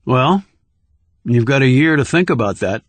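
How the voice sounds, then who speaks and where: A man's voice: medium pitch, animated, moderate pace, dry recording, lightly acted. Man reading as a blunt, wry male operative. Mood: probing. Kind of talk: audiobook character dialogue.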